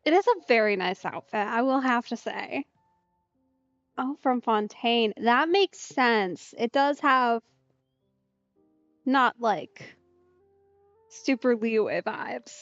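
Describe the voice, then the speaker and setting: A woman's voice: a little high, animated, takes it slowly, long pauses, no echo. A woman giving casual let's play commentary, musing and reacting aloud in short remarks, in slightly muffled stream audio. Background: soft game music.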